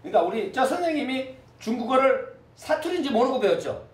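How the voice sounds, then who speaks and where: A man teaching a class, with a lively manner, repeating words for students to echo. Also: very high-pitched, a little fast. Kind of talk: classroom lecture. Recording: echoey room from a distance.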